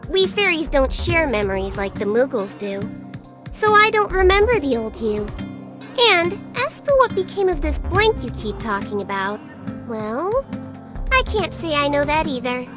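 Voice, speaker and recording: A woman's voice: high voice, very animated, measured pace. A woman voicing a tiny, cheeky fairy companion in a video game, blunt and flippant with breezy, teasing delivery. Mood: casual, coy. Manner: breezy, teasing, casual wording with sharp mood swings. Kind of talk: video game cutscene voice acting. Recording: music behind the voice.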